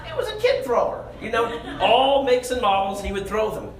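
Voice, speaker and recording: A man telling a comic, self-deprecating story on stage in an animated, conversational way. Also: very high-pitched, moderate pace. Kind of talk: comedic stage storytelling to an audience. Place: live stage venue. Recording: echoey stage show, audible room noise.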